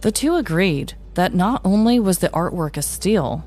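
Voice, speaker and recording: A woman's voice: on the low side, lively intonation, moderate pace, dark tone. A woman hosting a podcast, narrating a scripted story in a descriptive, mock-dramatic way. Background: music.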